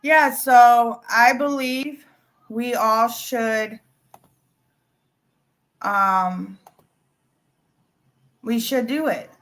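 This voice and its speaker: Woman hosting a live chat, slow and halting, kinda high voice. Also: fairly bright tone. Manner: slow, halting, full of ums and uhs. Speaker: livestream host. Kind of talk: casual livestream chat.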